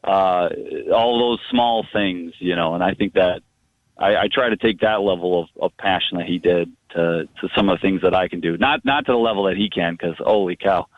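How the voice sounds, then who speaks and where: A man's voice: sits low, moderate pace, dry recording. A man phoning in to a radio show, casually reminiscing in a rambling way with lots of "you know" asides. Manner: rambling, frequent 'you know' asides. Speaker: phone-in guest sharing memories. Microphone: telephone. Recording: muffled phone line on radio.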